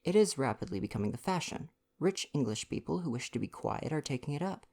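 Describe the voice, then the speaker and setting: Guy doing a fussy vain belgian detective, proud n theatrical, bit of gravel, storybook reading. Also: fairly high pitch, expressive, fast, a little mellow, pitched above the performer's own voice. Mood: enthusiastic. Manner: pompous, emphatic, formal phrasing.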